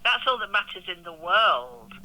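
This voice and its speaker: An older woman, an actress and interview guest, who talks in a loud, effusive and exclaiming way. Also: medium pitch, big pitch swings, normal speed, poor audio. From England. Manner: effusive and gushing, exclaims often. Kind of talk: casual interview chat.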